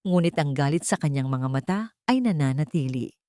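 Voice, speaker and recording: A woman's voice: low-pitched, animated, a little fast, mellow, dry recording, clean studio sound. A woman reading scripted dramatic narration as a storyteller.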